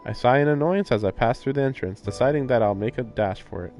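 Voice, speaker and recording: A man's voice: medium pitch, fast talker, a little mellow. Sardonic man streaming a game over music. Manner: sardonic, incredulous asides. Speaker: livestreamer reading and reacting to a visual novel. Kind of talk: casual game commentary mixed with reading narration aloud.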